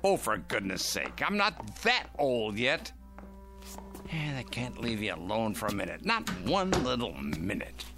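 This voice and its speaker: A man's voice: measured pace, music in the background. An actor playing a grumpy, sarcastic older man in a vintage radio drama. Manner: gruff, sarcastic, lively pitch. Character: grumpy old man who loves his radio. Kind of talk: 1950s radio drama acting.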